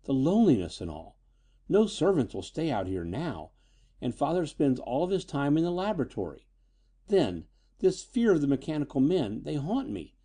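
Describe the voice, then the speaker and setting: A man voicing a frightened, high-strung but defiant young woman in a storybook reading, nervous and emotional. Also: big pitch swings, moderate pace, dark tone, breathy edge.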